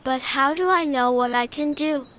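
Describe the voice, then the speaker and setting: A woman's voice: high voice, normal speed, a bit bright. A woman voicing a shy, insecure young male lion cub in a children's storybook, earnest and timid. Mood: sad, unsure.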